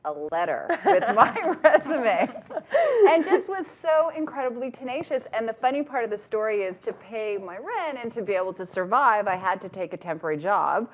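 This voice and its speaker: Animated woman telling a career story. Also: fairly high pitch, normal speed, a little hiss or hum. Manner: lively, laughing, acts out quotes. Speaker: panelist, businesswoman. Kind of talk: storytelling on a panel. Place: event hall with audience.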